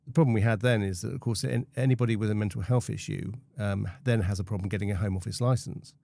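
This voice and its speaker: A man's voice: lowish voice, steady tone, quick pace, gravelly, mellow, a little hiss or hum. A man speaking as an interview guest, explaining things in spontaneous, unscripted talk.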